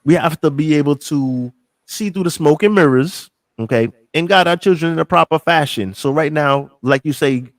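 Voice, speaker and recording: A middle-aged man from New York, talking casually on a podcast, animated and emphatic, repeating himself and swearing freely. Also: on the high side, normal speed, no echo. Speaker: podcast co-host.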